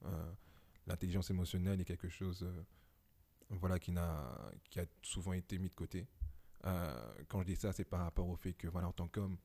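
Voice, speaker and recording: Casting: young man in his twenties, low mellow voice, reflective podcast guest who hesitates often. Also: little pitch movement, brisk. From Belgium. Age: 20s. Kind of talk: casual podcast conversation. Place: home.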